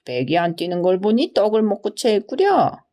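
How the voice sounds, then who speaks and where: A woman's voice: medium pitch, big pitch swings, normal speed, warm tone, close to an everyday voice. A woman voicing a crafty male traveler who bluffs as a physician, with self-assured, old-fashioned speech in a storybook. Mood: confidently bluffing. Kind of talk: storybook character reading.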